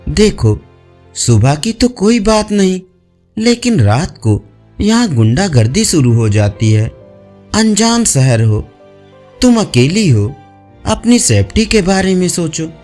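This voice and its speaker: A man's voice: high-pitched, very animated, slow, a little mellow, faint music behind, exaggerated voice. A man voicing a friendly, helpful young male office colleague in an audio story, casual and persuasive. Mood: serious.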